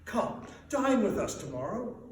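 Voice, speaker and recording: A man's voice: high voice, big pitch swings, a little slow, voice pushed up. A man reading a storybook as a cheerful, earnest young nephew, speaking in a hearty and sincere way. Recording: echoey room, distant mic, faint noise.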